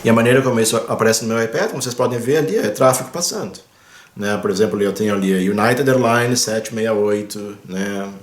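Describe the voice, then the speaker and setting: A man with a somewhat low voice talks through aviation gear in a relaxed, explanatory way. He keeps checking in with listeners with little fillers as he points at a screen. The sound bounces around a roomy space at home. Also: a little fast. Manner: chatty, fills with "né" and "ó", points at screen. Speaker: pilot and aviation video host. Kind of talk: casual explanatory vlog talk. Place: at home, indoors.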